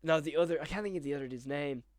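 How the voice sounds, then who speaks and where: A man's voice: a little high, rapid-fire, a little mellow, no echo, clean studio sound. A young man chatting casually as an enthusiastic, slangy host and interviewer. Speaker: YouTube horror reactor and interviewer, also a voice actor. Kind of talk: casual chat.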